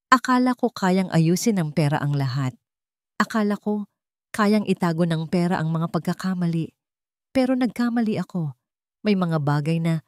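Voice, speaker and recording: A woman voicing a humbled, remorseful young widower who speaks haltingly and politely, his voice hoarse. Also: fairly high pitch, a little mellow, no echo, clean studio sound, close to an everyday voice.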